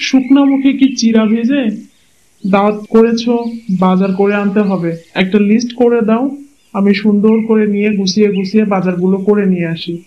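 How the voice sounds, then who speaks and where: A man's voice: high-pitched, a little fast, close to an everyday voice. A man voicing a doting, easygoing village husband in a cartoon, affectionate and teasing. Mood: eager, agreeable. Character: doting, easygoing village husband, indulgent toward his wife. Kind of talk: cartoon moral-story voice acting. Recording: dull lo-fi sound with clear noise.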